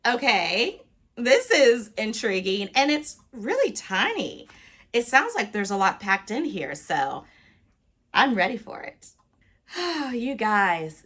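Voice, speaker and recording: A woman talking casually to viewers as a book vlogger, with an expressive, playful and emphatic delivery. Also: mid-range voice. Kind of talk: casual, chatty talk to viewers.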